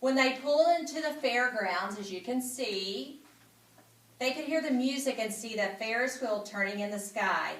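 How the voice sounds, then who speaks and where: A woman's voice: a little high, moderate pace. A woman reading a children's story aloud expressively and dramatically, repeating phrases and adding asides. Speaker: school principal reading aloud. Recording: echoey room, somewhat distant mic.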